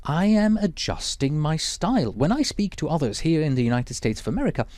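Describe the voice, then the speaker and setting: A man whose first language is Swiss German, teaching a lecture in a measured, explanatory way with Swiss German accent. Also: fairly high pitch, sing-song, a little mellow, no room sound. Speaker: project management instructor.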